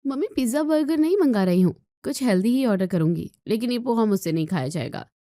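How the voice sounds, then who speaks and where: A woman's voice: a little high, lively intonation, quick pace, mellow, dry recording, clean studio sound, voice pushed up, natural voice. A woman voicing a lazy, spoiled, snooty young daughter in a moral story, with a flippant, whiny and dismissive manner.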